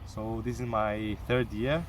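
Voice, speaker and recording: A young man from Cyprus answering interview questions in a casual, halting way, with restarts and fillers. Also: on the low side, flat delivery, distant mic, slight background noise. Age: young adult.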